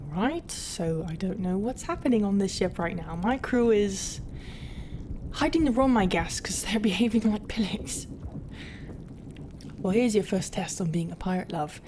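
Casting: actress as a flustered, soft-hearted lady pirate captain for intimate audio roleplay; unhurried, hesitant, half-whispered, over noticeable ambience. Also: medium pitch, a little hiss or hum, close to an everyday voice. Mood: exasperated, teasing. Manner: hesitant, halting, often breathy half-whisper. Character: conflicted, flustered female pirate captain, gruff but tender. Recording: steady ambient sound effects behind voice.

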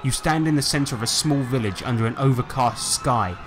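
A man's voice: medium pitch, fairly quick, over music. A man narrating a tabletop role-playing game as game master, on a noisy home recording.

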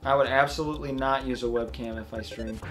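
A young man talks over a retro role-playing game in a flat, monotone voice, drifting from point to point as he plays. His pitch sits in the middle range, and faint music plays under his voice. He explains things at length in casual commentary. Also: quick pace. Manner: self-described monotone, rambling explanations. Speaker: let's play host.

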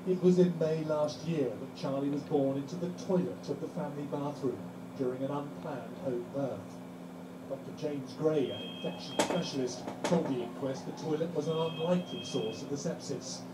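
A male correspondent delivers a scripted news report. His voice is fairly high for a man. The recording is distant and echoey, with loud noise over it. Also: normal speed.

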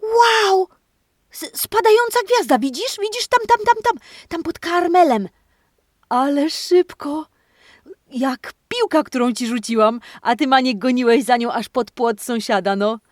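A woman hosting a children's podcast, telling a playful interactive story in an animated, exclamatory way, speaking straight to kids and a dog. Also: high voice, unhurried, no echo, studio quality. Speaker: host of a children's speech-exercise podcast.

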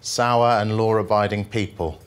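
A middle-aged man with a fairly low voice speaks into a handheld mic in a presentation room. He delivers verse and asides to his listeners with deliberate, mock-solemn phrasing. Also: steady tone, very noisy. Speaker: journalist reading poems at a workshop. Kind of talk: live poetry reading with casual asides to an audience. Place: indoor presentation room with projection screen. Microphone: handheld microphone.

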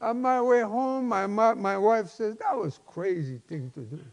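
A man in his seventies, a pastor preaching a personal testimony in an emphatic, halting, folksy way. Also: high-pitched, vocal fry. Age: 70s. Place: large church sanctuary, at a lectern. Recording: long hall reverb.

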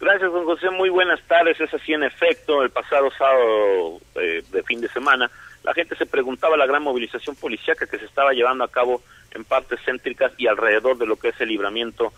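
A man phoning in a detailed news report, steady with small fillers. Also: mid-range voice, a little fast, no room sound. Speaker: field reporter. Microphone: telephone. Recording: tinny dry phone line.

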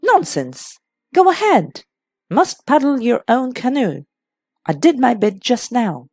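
Lady doing bluff old-school colonel husband, hearty, no nonsense, audiobook, swingy pitch. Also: sing-song, slow, darkish tone, husky, no room sound, clean studio sound, full character voice. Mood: bluff, encouraging. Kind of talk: audiobook character voice in a classic mystery.

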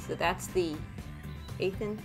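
A woman's voice: lowish voice, takes it slowly, creaky, music in the background. A young woman co-hosting a DIY video, asking curious questions in a playful, casual way.

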